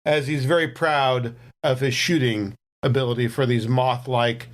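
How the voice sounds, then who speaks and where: A man's voice: mid-range voice, fairly even intonation, unhurried, slight background noise. A man playing a tabletop roleplaying game, casually describing what his character does.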